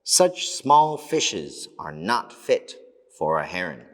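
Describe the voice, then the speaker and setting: A man's voice: deep voice, very animated, slow, a bit bright, exaggerated voice. Man as a haughty heron in long reverb. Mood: haughty refusal. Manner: disdainful, fussy. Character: haughty, picky male heron.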